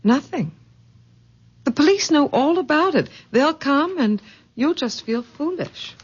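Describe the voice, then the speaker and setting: An actress playing a gently insistent grown daughter in a radio ghost mystery, with a husky voice and an emphatic, dramatic delivery. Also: female voice, high-pitched, expressive, measured pace. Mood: grave, insistent. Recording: muffled vintage radio drama recording.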